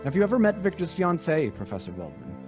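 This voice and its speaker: A man's voice: fairly high pitch, huge pitch swings, fast talker. An actor playing a breezy, joking young male student with a wry, jaunty manner in an old-time radio drama. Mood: wry, teasing. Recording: music under the voice.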